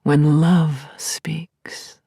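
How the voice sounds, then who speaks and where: An actress playing a grieving, lovelorn middle-aged therapist in an intimate audio play monologue, confiding and wry, lingering on words and dropping to murmurs. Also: female voice, low voice, little pitch movement, drawn-out words, gravelly, dark tone, husky, no echo, clean studio sound, full character voice. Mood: yearning, reverent. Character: grieving, lovelorn woman therapist, self-aware and yearning. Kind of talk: intimate first-person dramatic monologue.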